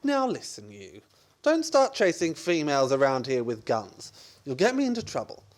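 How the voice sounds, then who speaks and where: A man's voice: fairly high pitch, wildly swooping pitch, normal speed. An exasperated, sarcastic young man. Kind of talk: 1930s radio acting.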